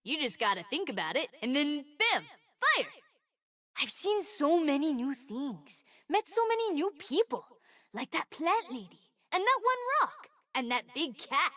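A woman playing an eager, sweet, boastful twelve-year-old boy fire mage in a video game, with an excitable, earnest, childlike manner. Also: sits high, a little slow, distant mic, full character voice. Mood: boastful, chatty. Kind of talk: video game character voice lines.

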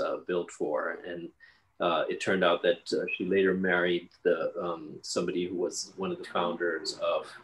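A man in his fifties, a guest architect speaking in a measured way with many fillers over a video call from a living room. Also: low-pitched, fairly bright tone, poor audio. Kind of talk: panel conversation.

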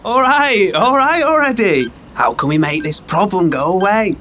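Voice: medium pitch, lively intonation, normal speed, background noise, close to an everyday voice. A performer playing a sly, sarcastic elf rogue in an audio drama, teasing and theatrical.

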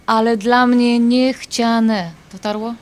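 A woman's voice: fairly high pitch, fairly even intonation, takes it slowly. An actress playing a desperate, indignant married woman in an audio drama, defensive and exasperated with a former lover. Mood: defensive, cold. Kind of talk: audio drama acting, dialogue.

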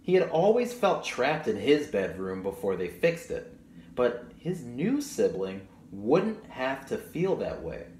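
A man's voice: medium pitch, big pitch swings, slow pace, a little mellow. A young man reading a picture book to children, expressively, with chatty asides to his young listeners. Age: young adult. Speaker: children's storybook reader. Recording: roomy echo, audible background noise.

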